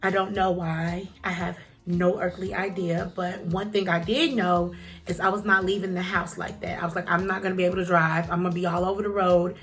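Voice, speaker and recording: A woman's voice: lowish voice, flat delivery, a little fast. Chatty encouraging woman vlogger, stuffy nose. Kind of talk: casual talk to camera.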